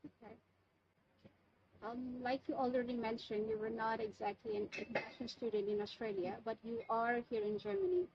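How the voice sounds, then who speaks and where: A woman's voice: fairly high pitch, lively intonation, brisk, vocal fry. A woman hosting an interview, asking polite, hesitant questions with many ums. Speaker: interviewer, channel host. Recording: muffled lo-fi audio with faint background noise.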